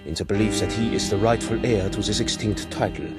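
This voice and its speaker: A man acting in a radio drama as a pompous solicitor, reciting a letter in a formal, deliberate manner. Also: fairly low pitch, over loud music, lightly acted. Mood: formal, measured.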